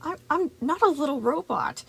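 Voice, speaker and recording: A woman voicing a frightened, indignant young boy with a squeaky voice, stammering and protesting in a story reading. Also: measured pace, slight background noise. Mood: startled, indignant.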